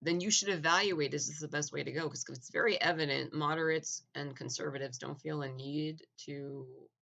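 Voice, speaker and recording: A man giving a blunt, argumentative opinion monologue, full of "like" fillers and restarts, clearing his throat now and then. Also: fairly high pitch, fairly even intonation, normal speed, sharp tone. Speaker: opinion vlogger.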